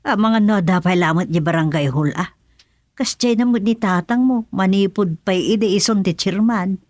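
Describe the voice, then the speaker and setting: A woman's voice: medium pitch, moderate pace, darkish tone, no echo, natural voice. A woman with an Ilocano Filipino accent acting as a matter-of-fact, worried village mother in a radio serial. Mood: grumbling.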